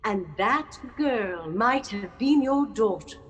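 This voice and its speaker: A woman playing a solemn ghostly spirit in an audio drama, stern, measured and portentous. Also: on the high side, expressive, fairly bright tone, muffled recording. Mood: stern, relentless. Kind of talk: theatrical audio drama acting. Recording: long cavernous reverb, faint noise.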